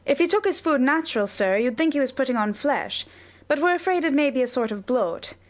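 A woman's voice: high-pitched, lively intonation, a little fast, voice pushed up. A woman reader voicing a flustered, talkative older housekeeper who rambles through her explanations. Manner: breathless, rambling explanations, lots of "sir".

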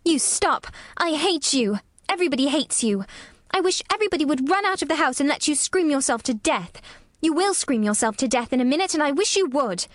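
A woman's voice: high-pitched, lively intonation, a little fast, no echo, studio quality, pitched above the performer's own voice. A woman voicing a fierce, bossy little girl in a storybook reading, scolding and commanding. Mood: furious.

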